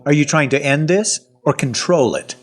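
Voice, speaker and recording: A man playing a sardonic, suspicious captive in a zombie audio drama, talking in a colloquial, wry way with a husky voice. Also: a little high, expressive, moderate pace, soft background music. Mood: suspicious, challenging. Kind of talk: first-person audio fiction narration and acting.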